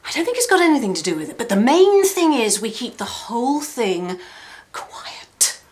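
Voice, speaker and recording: An actress playing a frazzled, bossy middle-aged producer, urgent and clipped, in a screen comedy. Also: female voice, on the high side, sing-song, moderate pace, a little mellow, a little hiss or hum. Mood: anxious, hushed. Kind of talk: naturalistic screen comedy acting. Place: open-plan office.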